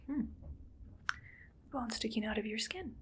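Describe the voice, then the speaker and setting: A woman's voice: on the high side, lively intonation, unhurried, a little hiss or hum. A woman playing a coldly clinical mad scientist in an ASMR medical roleplay, whispering detached observations as if muttering to herself. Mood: detached, disappointed.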